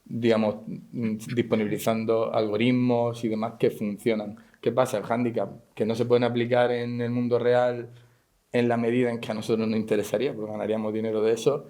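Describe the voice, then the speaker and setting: A man's voice: mid-range voice, level tone, a little fast, warm tone. A man from explaining things off the cuff as a panelist, often adding tag questions. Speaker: panelist, tech company professional. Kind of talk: unscripted panel discussion.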